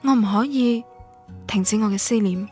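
A woman's voice: medium pitch, moderate pace, dark tone, soft background music, close to an everyday voice. An actress with a Cantonese accent playing a romantic, stubborn young woman who tells her love story in a radio drama. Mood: tender, longing. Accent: Cantonese, Guangdong. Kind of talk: radio drama narration and dialogue, introspective first-person.

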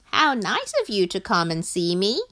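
A woman voicing a kindly, sensible duck in a children's storybook, calm and matter-of-fact. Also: mid-range voice, moderate pace. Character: kindly sensible duck, reassuring host to friends.

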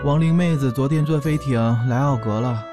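A man's voice: medium pitch, a little fast, over music, close to an everyday voice. A man playing a lovesick, indecisive young orc rogue, a hesitant and earnest little brother, in an audio drama. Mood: sheepish, torn.

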